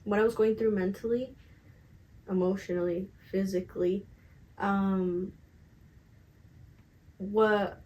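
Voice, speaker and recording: A woman in her twenties chatting casually and candidly to camera as a vlogger, emphatic and slangy, with a slightly raspy voice. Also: mid-range voice, darkish tone, low-quality recording. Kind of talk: casual confessional chat to camera.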